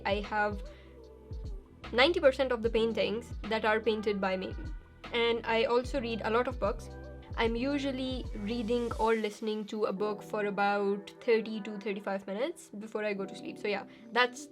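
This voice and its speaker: Chatty young woman, slightly high voice. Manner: chatty, laughs easily, reads questions aloud then answers. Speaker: YouTuber answering viewer questions. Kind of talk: casual Q&A vlog.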